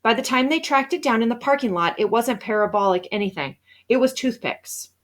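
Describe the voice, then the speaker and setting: A woman's voice: on the high side, expressive, fairly quick, natural voice. A woman reading a storybook, playing a blunt, exasperated schoolboy who is sarcastic with a friend. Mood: sour, accusing.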